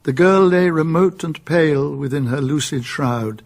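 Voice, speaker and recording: A British man narrating a literary radio drama in a husky voice, solemn and measured. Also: a little high, close to an everyday voice. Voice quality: husky, creaky.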